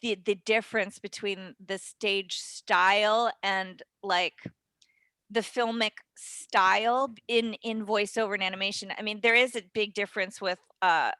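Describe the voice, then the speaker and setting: A woman speaking in an animated, emphatic way as a guest acting teacher, answering casually in an interview. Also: mid-range voice, measured pace, clean tone, no room sound.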